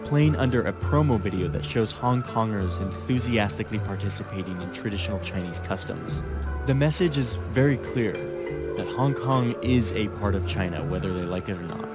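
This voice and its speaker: A man narrates a documentary in a measured, explanatory tone. His voice sits a little low, and clear music plays under his words. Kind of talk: scripted narration.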